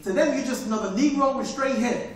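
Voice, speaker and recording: A man on a live stage playing a swaggering, streetwise 1940s Harlem hustler who talks in slang and bursts out shouting. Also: extremely high pitch, quick pace, noisy background. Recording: echoey theater, heard from far off.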